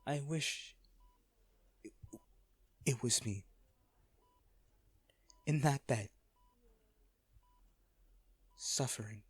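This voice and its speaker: A man acting a hardened lover pleading at a comatose partner's bedside in a romantic audio roleplay, halting, intimate and earnest. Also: medium pitch, breathy edge, dry recording. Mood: grieving, broken.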